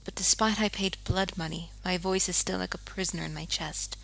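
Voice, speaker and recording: An actress voicing a grieving jazz singer who narrates her own story in a quiet, bitter, world-weary way, often sinking to a whisper. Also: fairly low pitch, steady tone, a little fast, vocal fry, poor audio. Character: grieving, haunted woman jazz singer seeking revenge. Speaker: game character. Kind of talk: scripted game voice acting, confessional narration. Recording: faint music underneath.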